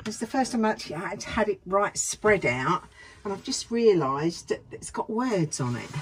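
A woman in her fifties chatting casually in a soft, unhurried way inside a narrowboat cabin. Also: medium pitch, sing-song, slight background noise. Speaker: vlogger. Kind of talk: casual chat. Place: narrowboat cabin, small indoor space.

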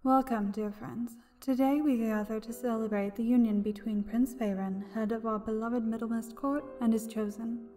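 A woman as a mellow, stately fae officiant. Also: on the high side, steady tone, moderate pace, hardly any pauses, lightly acted. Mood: solemn, welcoming. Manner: solemn, stately, formal. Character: formal female officiant of a fae wedding. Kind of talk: archaic ceremonial fantasy roleplay acting.

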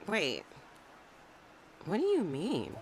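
An actress playing a timid, hopeful young woman in a radio drama, speaking softly with wide swings of pitch. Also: huge pitch swings, extremely slow, a little hiss or hum, exaggerated voice, poor audio. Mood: hushed.

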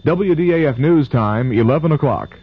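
A man's voice: fairly high pitch, animated, moderate pace, very noisy. An American man reading scripted local news on the radio as a newscaster. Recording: dull vintage AM radio recording.

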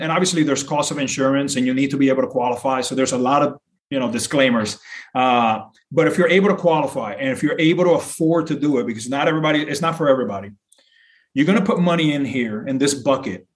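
A middle-aged man from Puerto Rico talking as an interview guest, explaining in an earnest, conversational way and repeating phrases for emphasis. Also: mid-range voice. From Puerto Rico, later south of Boston. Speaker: podcast guest, insurance broker.

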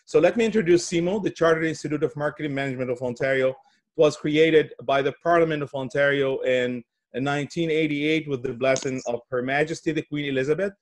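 A middle-aged man from Brazil giving a presentation on a video call, speaking haltingly with repeated words and pauses. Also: fairly high pitch, low-quality recording. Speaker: guest speaker giving opening remarks. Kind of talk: presentation at an online summit. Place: indoor office, on a video call.

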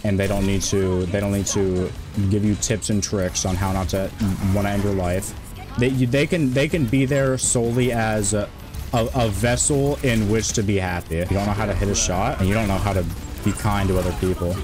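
Low-voiced, monotone male streamer. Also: brisk, over music. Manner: monotone, rambling, many restarts. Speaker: game streamer. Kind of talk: casual stream chat.

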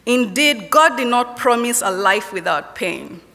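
A Kenyan woman in her forties speaks at a chapel lectern, delivering her testimony slowly, with deliberate, repeating refrains. Her voice is bright and fairly high. A long hall reverb trails behind her through the lectern mic. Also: expressive. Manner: slow, measured, rhythmic repetition. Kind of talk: personal testimony sermon read from notes. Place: university chapel stage at a lectern. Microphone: lectern microphone.

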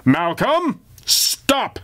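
A man's voice: high voice, big pitch swings, very slow, fairly bright tone, voice pushed up, full character voice. Man as an aloof female mad-scientist villain. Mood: commanding. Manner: sighing, resigned.

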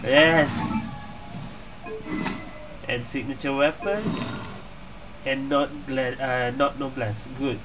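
A man's voice: medium pitch, very slow, pauses often, noisy background. A man giving casual gaming commentary as he streams his play. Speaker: gameplay streamer.

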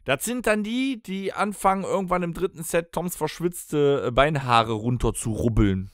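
A man chats informally as a podcast host, joking and adding wry asides. The voice is somewhat high for a man and sounds close, with very little room around it. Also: expressive, normal speed, dry recording. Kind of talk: casual joking podcast chat. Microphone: close studio podcast microphone.

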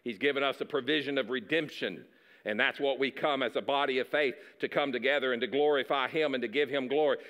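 A man's voice: medium pitch, fast talker, fairly bright tone, in a large hall. A middle-aged man preaching a sermon, moving around a church stage, talking in a conversational way with repeated words and side remarks. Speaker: pastor. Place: large dark church stage.

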